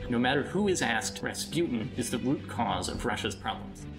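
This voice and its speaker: Male promo narrator, middle-pitched, scripted read with clear music behind. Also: lively intonation, normal speed. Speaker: podcast trailer narrator. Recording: music-backed podcast promo.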